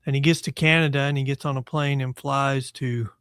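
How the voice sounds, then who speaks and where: A man's voice: mid-range voice, little pitch movement, fairly quick, a bit bright, clear, resonant tone, no room sound, studio quality. A man in his sixties from Texas, a guest attorney talking casually and with self-deprecating humor in an interview. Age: 60s.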